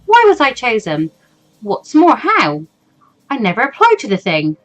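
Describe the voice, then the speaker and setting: A woman's voice: on the high side, huge pitch swings, unhurried. A woman voicing an anxious, awkward fourteen-year-old schoolgirl from a visual novel, hesitant and self-doubting. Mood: gloomy, doubting. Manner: hesitant, stammering, over-thinking. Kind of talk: visual novel character reading. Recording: music behind the voice.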